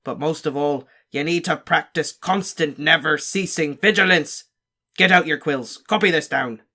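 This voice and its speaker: A man's voice: a little high, moderate pace, sharp tone. A man growling as a fierce old wizard teacher. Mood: grim, emphatic. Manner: growling, barking warnings. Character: gruff battle-scarred old male wizard teacher, fierce. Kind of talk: audiobook character voice.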